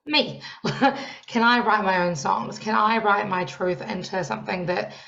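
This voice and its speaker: A young woman with a New Zealand accent chats as a podcast guest, loud and lively, quick to laugh. Her voice sits at a middling pitch. It comes through a slightly dull, lo-fi remote line. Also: creaky. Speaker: podcast guest, songwriting coach. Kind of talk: casual interview chat.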